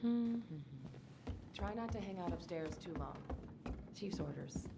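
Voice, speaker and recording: A woman's voice: lowish voice, expressive, slow pace, noisy background. An actress playing a blunt, affectionate American policewoman in a video game, with a casual, teasing manner. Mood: breezy, friendly. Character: blunt, breezy, affectionate female police officer friend. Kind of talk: naturalistic video game acting.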